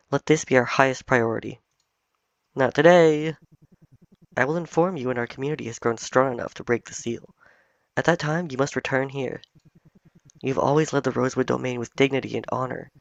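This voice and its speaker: A man voicing an ancient, wise cat spirit guardian, reading its lines in a solemn, measured way. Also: mid-range voice, gravelly, no room sound, natural voice. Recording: dull, dry home recording.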